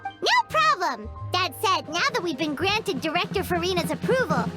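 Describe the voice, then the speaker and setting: Game role: keen little girl helper, very high, animated voice over loud music. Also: moderate pace. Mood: eager. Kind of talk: video game voice acting.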